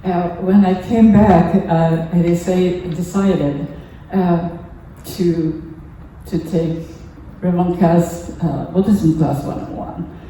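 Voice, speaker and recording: An older woman from Japan, a native Japanese speaker with a Japanese accent, gives a halting, earnest personal talk as a lay speaker at a temple service. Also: lowish voice, steady tone, mellow. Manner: halting, earnest, pausing often mid-phrase. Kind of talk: personal testimony talk to a congregation. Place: temple hall during a service. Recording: echoey room, distant mic, faint noise.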